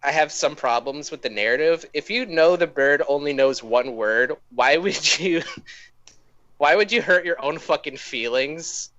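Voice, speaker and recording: Man, bright sharp tone, mid pitch, casual slangy co-host, irreverent, very dry room. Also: unhurried, no room sound, muffled recording. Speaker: podcast co-host. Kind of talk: casual chat.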